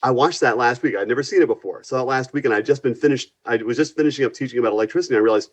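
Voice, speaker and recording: A man's voice: medium pitch, very fast. A man speaking as an instructor in a conversational interview, earnest and given to acting out little quotes as he explains. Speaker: university physics and astronomy instructor, guest.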